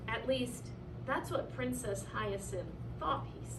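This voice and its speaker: A woman reading a children's picture book aloud in an animated, deliberate way with dramatic emphasis. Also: a little high. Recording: echoey room, distant mic, clear noise.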